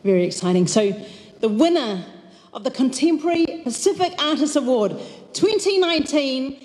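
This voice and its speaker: A woman's voice: sits high, moderate pace. A woman reading a formal award introduction at a lectern microphone on a hall stage. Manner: formal, building to an excited announcement. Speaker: award presenter. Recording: hall reverb with faint room noise.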